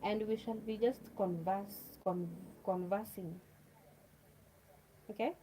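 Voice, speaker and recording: A woman's voice: medium pitch, fairly even intonation, takes it slowly, warm tone, slight background noise, low-quality recording. A Kenyan woman whose first language is Luo, chatting casually to viewers on a livestream, repeating herself and speaking to them directly. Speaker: livestream host.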